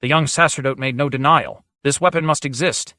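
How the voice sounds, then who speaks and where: A man reading an audiobook, voicing a proud lord with a nasal, shrill voice who speaks in an insistent, pressing way. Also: fairly even intonation, brisk, pushed and bright, dry recording, clean studio sound, lightly acted. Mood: stammering. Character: proud, urgent male lord, pleading then arguing.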